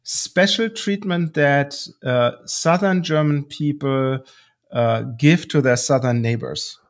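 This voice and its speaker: A man's voice: on the high side, takes it slowly. A man from the United States co-hosting a podcast, joking and slightly hesitant. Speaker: podcast co-host. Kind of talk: podcast interview.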